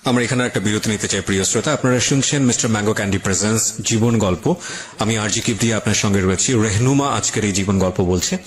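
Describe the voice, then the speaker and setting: A man's voice: fairly low pitch, quick pace. A man with a Bangladeshi accent presenting a radio show as its host.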